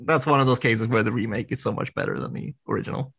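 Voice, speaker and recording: A man's voice: mid-range voice, gravelly, fairly bright tone, breathy edge. A man from Sweden, a guest on a podcast, talking casually with lots of fillers and restarts. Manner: halting, with many restarts and fillers.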